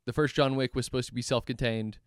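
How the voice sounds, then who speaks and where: A young man holds forth in a loose podcast chat, jokey and opinionated, breaking in with wisecracks. His voice is clear and smooth, caught close on a dry mic. The room adds nothing. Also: mid-range voice, steady tone, quick pace, no echo. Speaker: podcast co-host. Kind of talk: casual chat.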